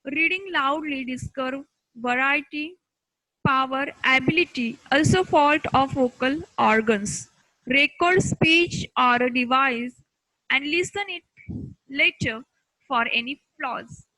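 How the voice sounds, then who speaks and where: Woman, Indian accent, high voice, bright tone, deliberate halting phrasing, scripted lecture delivery. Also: no echo, poor audio. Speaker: instructor.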